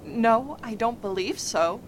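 An impatient, worried young lady from a radio drama, acted by a woman. Her voice is a bit on the high side. The recording has clear background noise. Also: slow pace. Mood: urgent. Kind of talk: radio play acting. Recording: noisy ambience, traffic rumble.

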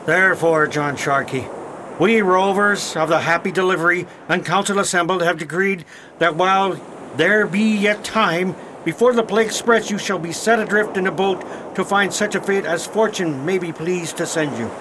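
Casting: man for stern pirate crew spokesman, high voice, formal old seafaring speech, radio drama. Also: normal speed, background noise, natural voice. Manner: declamatory, formal archaic seafaring talk.

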